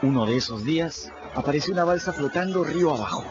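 Lively runaway boy storyteller, played by a man. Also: fairly high pitch, quick pace, close to an everyday voice. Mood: animated storytelling. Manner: colloquial, animated, exclamatory. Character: cheeky runaway adolescent boy narrating his river adventures. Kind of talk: radio drama storytelling in first person. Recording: muffled radio production with music behind.

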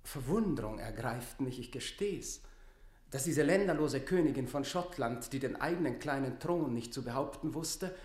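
An actor playing a scornful, persuasive lord at court, eloquent and theatrical, in a classical radio play. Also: male voice, mid-range voice, echoey room, close to an everyday voice. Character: smooth-talking, scornful lord courting a queen's favour.

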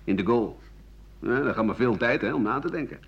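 An actor playing an embittered aging French doctor and war veteran, rambling sardonically through his memories in a radio drama, his voice husky and rough-edged. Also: medium pitch, expressive, brisk. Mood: wry, scornful. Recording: dull 1980 radio play recording.

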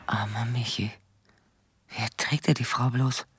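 A woman's voice: a bass voice, steady tone, measured pace, heavy background noise. A woman playing a lonely, brooding abandoned wife who murmurs to herself in an intimate radio drama monologue. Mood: brooding, bitter. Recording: music behind the voice.

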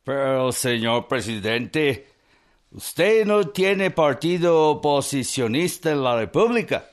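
A man's voice: medium pitch, lively intonation, slow. An actor playing a polite, probing North American male newspaper correspondent in a historical radio drama, speaking formally and respectfully.

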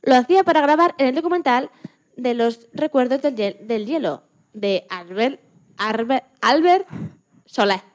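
A woman from playing a lively, joking retired Antarctic scientist in her eighties in a radio interview, often restarting her sentences. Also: sits high. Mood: animated, reflective. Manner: chatty, joking, halting with restarts. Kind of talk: scripted mock radio interview.

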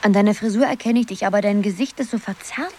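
A woman's voice: mid-range voice, fast, dark tone, a little hiss or hum, natural voice. An actress playing a dreamy young woman absorbed in a computer game, musing half to herself in a radio drama.

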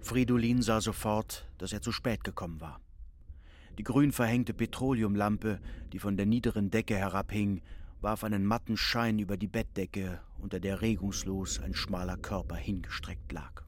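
Male narrator, low voice, literary radio play reading, faint background ambience. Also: fairly even intonation, moderate pace, a little hiss or hum.

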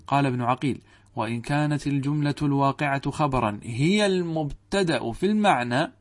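A man teaching Arabic grammar to students, posing questions to the class and answering them himself, reciting quoted text. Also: medium pitch. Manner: addresses students directly, checks understanding.

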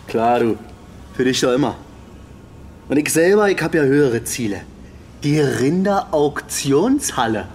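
An actor playing a cocky, dim young slaughterhouse worker with a Berlin accent, slangy and boastful, in a radio drama. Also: male voice, medium pitch, expressive, a little slow, long pauses, darkish tone, a little hiss or hum, natural voice.

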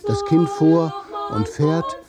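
A man narrating a scripted literary radio play in a deliberate, measured storytelling manner. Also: fairly high pitch, over music.

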